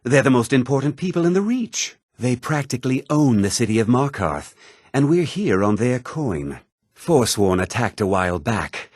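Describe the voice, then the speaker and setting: Husky, haughty fantasy townsman. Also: medium pitch, big pitch swings, normal speed, darkish tone, no echo, clean studio sound. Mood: brusque, menacing. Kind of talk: scripted fantasy video game dialogue.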